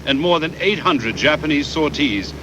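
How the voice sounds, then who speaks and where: A man reading scripted historical documentary narration in a measured, formal way with deliberate pauses, on a VHS transfer. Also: on the high side, expressive, lo-fi sound, background noise.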